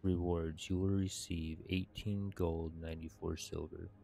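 A man in his thirties with a low, creaky voice hosts a gameplay video. He reads and comments in a halting, unhurried way, with little rise or fall in his tone. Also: little pitch movement, warm tone, a little hiss or hum. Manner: measured, halting reading. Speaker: gaming video host reading quests aloud. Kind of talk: reading game text aloud, casual gameplay commentary. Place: indoors at a computer.

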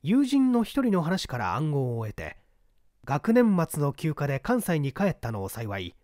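A male reader narrates a classic mystery story in a measured way with dramatic asides. His voice is somewhat high and very lively in pitch, and the recording is close and dry. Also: no echo.

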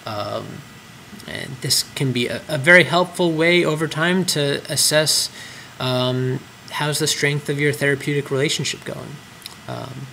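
Male trainer, mid-pitched voice, relaxed explanatory screen tutorial, faint hum. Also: poor audio. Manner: explanatory, frequent ums and uhs. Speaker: clinic trainer. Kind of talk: screen-recorded software tutorial.

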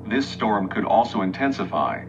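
A man's voice: lowish voice, sing-song, normal speed, music in the background. A man anchoring storm coverage in the style of a TV weather news broadcast. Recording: dull, noisy lo-fi recording.

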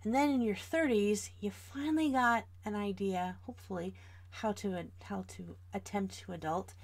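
Chatty American woman with a creaky voice. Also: medium pitch, moderate pace. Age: about 40. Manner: chatty, informal, addressing viewers directly. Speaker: host of an art livestream. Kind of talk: casual livestream chat while drawing.

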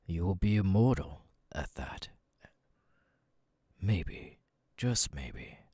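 A man voicing a menacing, haughty, sadistic demon king in an ASMR audio roleplay, soft-spoken and condescending. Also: low-pitched, animated, drawn-out words, husky, no room sound, heavily acted. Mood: calm, threatening. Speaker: voice actor.

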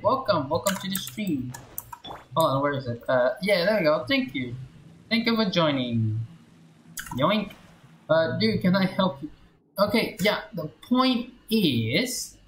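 A woman game streamer chatting casually and playfully with viewers while playing on a livestream. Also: deep voice. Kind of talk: casual livestream gameplay chat. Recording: roomy echo, faint background noise.

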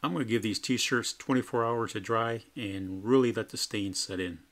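A man's voice: fairly low pitch, steady tone, moderate pace, fairly bright tone. A man narrating a plain, matter-of-fact home test of laundry detergents as a product reviewer.

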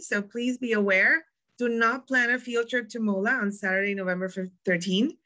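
A young woman with a Puerto Rican accent walks listeners through a museum tour. Her voice is bright and forward, at an ordinary middle pitch. She talks in a chatty, easygoing way, full of ums and quick restarts. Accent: Puerto Rican Spanish. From Puerto Rico. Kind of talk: presenting a virtual museum tour.

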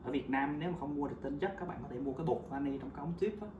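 A man's voice: mid-range voice, very fast, recorded from a distance, highs cut off. A man in his thirties from Vietnam, casually walking viewers through a homemade recipe in his kitchen, chatty with frequent hesitations. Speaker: home cooking vlogger. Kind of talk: casual how-to demonstration. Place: home kitchen, indoors. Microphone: camera mic on counter. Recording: roomy kitchen, voice off-mic, loud background noise.

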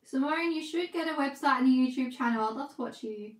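A young Australian woman chatting casually on a livestream from her sewing room, reading comments, talking fast and laughing often. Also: high voice, reverberant. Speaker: livestream host, scrunchie maker. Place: home sewing room, indoors.

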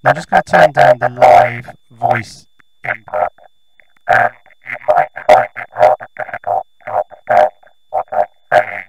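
A British man talks casually through a robotic vocoder, thinking aloud as he demonstrates it. The voice is low and gravelly, with a clear hum and noise in the recording. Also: animated, unhurried. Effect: robotic vocoder, voice modulating buzzing tones and noise. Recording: noisy, humming vocoder output.

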